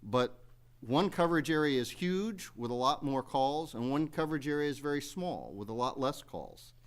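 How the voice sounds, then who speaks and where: A man speaking off the cuff as he chairs a public township meeting, measured and conciliatory, with folksy phrasing. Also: medium pitch, animated, clean tone. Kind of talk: unscripted remarks at a public meeting.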